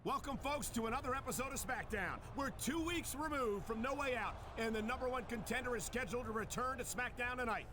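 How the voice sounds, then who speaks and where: An actor playing an excitable wrestling announcer calling a televised show in a video game. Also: male voice, very high-pitched, brisk, few pauses, noisy background. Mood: upbeat. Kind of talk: TV sports commentary.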